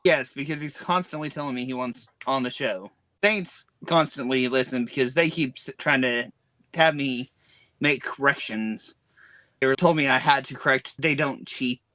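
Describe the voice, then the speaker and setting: A man's voice: mid-range voice, moderate pace, pushed and bright, no echo, low-quality recording. A man hosting a wrestling podcast, chatting in a wry, matter-of-fact way. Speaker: podcast host and ring announcer. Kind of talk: casual podcast chat.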